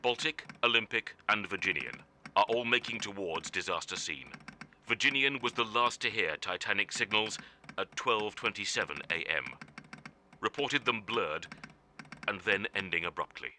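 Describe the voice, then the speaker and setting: A man's voice: on the low side, expressive, moderate pace, pushed and bright, a little hiss or hum. An actor playing a sombre male news reader from 1912, delivering formal telegram bulletins in a radio drama. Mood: grave, urgent.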